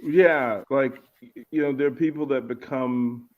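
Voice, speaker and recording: A man's voice: medium pitch, steady tone, unhurried. A man from Virginia, a guest speaker talking in a casual interview and thinking aloud as he repeats his words. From Arlington, Virginia. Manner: reflective, repeats words while searching for phrasing. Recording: muffled lo-fi audio.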